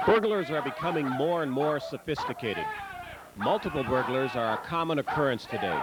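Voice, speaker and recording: A man reading scripted promotional narration in a measured, instructional manner. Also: medium pitch. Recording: noisy old VHS tape transfer.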